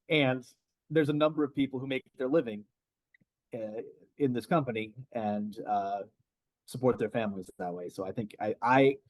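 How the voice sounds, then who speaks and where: Measured, reasonable podcast co-host. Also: male voice, medium pitch, dry recording, rough recording. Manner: measured, reasoning, even-handed. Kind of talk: casual wrestling podcast chat.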